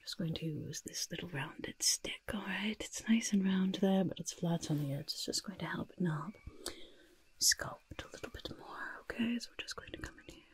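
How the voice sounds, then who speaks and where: A young woman voicing a grudging, smug goblin disguise artist in a breathy, raspy, soft-spoken ASMR roleplay, muttering reassurances close to the microphone. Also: on the low side, normal speed. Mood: muttering, reassuring. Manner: refers to self by name, mutters and repeats reassurances. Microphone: close microphone, face close to camera.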